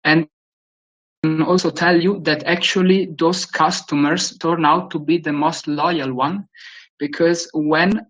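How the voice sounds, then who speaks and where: A man from Italy with a strong Italian accent, speaking English as a guest speaker in a webinar Q&A, with frequent pauses and restarts. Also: fairly high pitch, steady tone, fairly bright tone, rough recording. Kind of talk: webinar Q&A answer.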